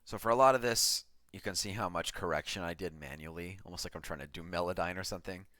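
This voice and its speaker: Deep-voiced man in casual music breakdown. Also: fast, sharp tone, no echo. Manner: offhand, self-deprecating. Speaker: electronic music producer. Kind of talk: casual track breakdown commentary. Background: music from the track being played.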